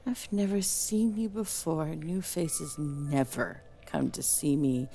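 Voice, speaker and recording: Woman as an ancient female dragon soul, very slow, low-pitched, bitter, taunting, theatrical audio drama. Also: lively intonation, mellow, a little hiss or hum, full character voice. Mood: cold, suspicious.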